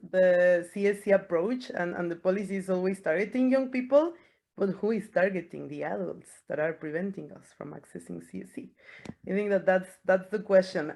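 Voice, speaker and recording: Earnest woman moderating a panel. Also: on the low side. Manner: earnest, uses rhetorical questions.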